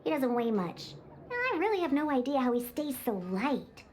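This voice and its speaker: Very high-pitched feisty cat girl. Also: very animated, normal speed, a little mellow, slight background noise. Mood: eager, bossy. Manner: indignant, bossy, cutesy. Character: feisty young cat-girl bartender who despises alcohol. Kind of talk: video game character acting.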